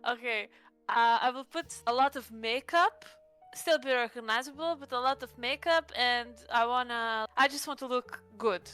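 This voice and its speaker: A woman talks casually as a player in a tabletop game. Her voice is rather high, bright and clean, with a conversational, hesitant flow over faint background music. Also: normal speed, clear, resonant tone.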